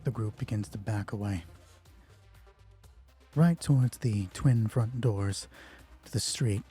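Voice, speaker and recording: Man, solo game master, casual thinking aloud, mellow tone, faint music behind. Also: lowish voice, takes it slowly. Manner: casual, conversational, reasoning through rules aloud. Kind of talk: narrating a solo tabletop roleplay, thinking aloud.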